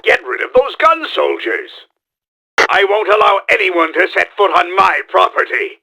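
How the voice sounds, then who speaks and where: A bombastic mad-scientist game villain, pitched high with wild, swooping intonation. The gravelly voice is forceful and theatrical. He is pompous and scheming, a middle-aged schemer who performs every line. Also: big pitch swings, normal speed, full character voice. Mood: bossy. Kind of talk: exaggerated video game voice acting.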